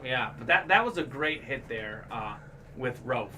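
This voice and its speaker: Bright-voiced man chatting sports. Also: mid-range voice, expressive, recorded from a distance, slight background noise. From Bulgaria. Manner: chatty, quick to add sports facts. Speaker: co-host of sports memorabilia stream. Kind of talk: casual chat.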